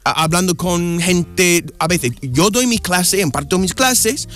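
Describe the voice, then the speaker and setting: A man from the United States, a native English speaker, chatting in Spanish with an American accent as an interview guest, halting and restarting words. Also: faint music behind. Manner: halting, restarts words, animated pitch swings. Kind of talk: casual interview chat.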